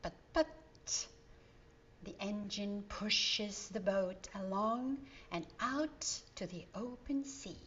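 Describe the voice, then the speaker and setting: A woman reading a children's story aloud in a gentle, expressive way. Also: fairly high pitch. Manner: gentle, expressive, sing-song. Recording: dull lo-fi recording.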